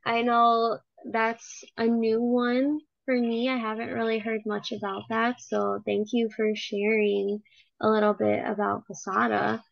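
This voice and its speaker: Gravelly-voiced young woman interviewer. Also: on the high side, level tone, measured pace, no echo. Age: young adult. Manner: friendly and encouraging, many "like" fillers. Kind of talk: casual interview, class project. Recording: slightly dull, lo-fi audio.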